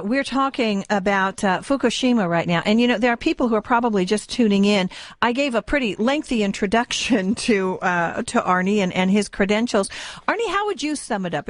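A woman's voice: mid-range voice, no echo. A woman hosting a live radio interview and call-in show, speaking in an informal, conversational way. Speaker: radio talk show host.

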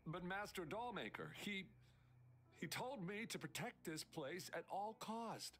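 An actor playing a wounded, loyal and anxious guard in a video game, speaking in an earnest, strained way. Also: male voice, high voice, expressive, unhurried, fairly bright tone, a little hiss or hum. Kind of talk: English video game voice acting.

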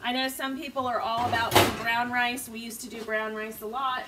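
A woman's voice: fairly high pitch, flat delivery, normal speed, very noisy. A woman in her forties chatting easily as she cooks in her home kitchen, recorded on a counter camera. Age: 40s. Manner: chatty, easygoing, laughs at herself. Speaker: stay-at-home mom vlogger. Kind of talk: casual cook-along chat. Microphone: camera on the counter, low angle. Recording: distant mic with loud kitchen clatter. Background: pans sizzling and cutlery clinking.

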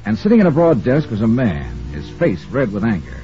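An actor playing a brisk, wry insurance investigator in a 1950s radio detective drama, narrating crisply in the first person. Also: male voice, mid-range voice, huge pitch swings. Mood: brisk narration. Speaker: narrator and lead of a detective serial. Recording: muffled old radio recording with music.

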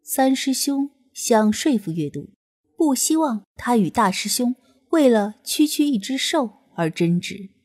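A woman reading an audiobook in expressive storytelling narration. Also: on the high side, unhurried. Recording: narration over soft music.

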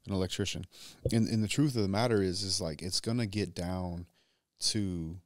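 A man, a business owner talking as a podcast guest, measured and drawn out, louder when he presses a point. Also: low voice, dry recording, studio quality. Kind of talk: casual conversation. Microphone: boom mic on stand.

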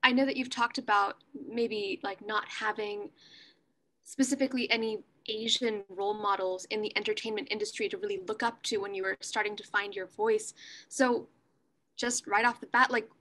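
A woman's voice: medium pitch, fairly even intonation, moderate pace, fairly bright tone. A young adult woman casually asking interview questions over a video call from a room at home. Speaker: student panelist.

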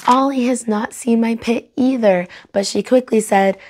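A young adult woman hosting a children's read-aloud, narrating in an animated, clearly enunciated way. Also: on the high side, normal speed. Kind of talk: expressive storybook narration for children. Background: soft music.

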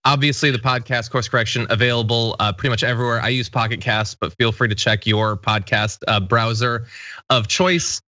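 Dry studio recording, American man, 30s, bright punchy voice, casual show host. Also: mid-range voice, steady tone, brisk, no room sound, highs cut off. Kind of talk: casual interview hosting.

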